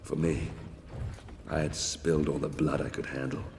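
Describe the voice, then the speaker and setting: A man's voice: very deep voice, level tone, normal speed, gravelly, a little mellow. An actor playing a grave, war-weary Roman centurion, somberly narrating his campaign in a video game. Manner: somber, reflective. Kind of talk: scripted game story narration. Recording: long reverb, faint noise.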